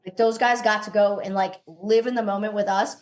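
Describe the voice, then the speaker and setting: Female podcast guest, mid-pitched, conversational champion athlete who talks in chatty runs and keeps tagging points for agreement. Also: steady tone, fairly quick. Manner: chatty, tags points with "right?" and "you know what I mean". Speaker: interview guest, champion cornhole player. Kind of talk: casual podcast interview answer.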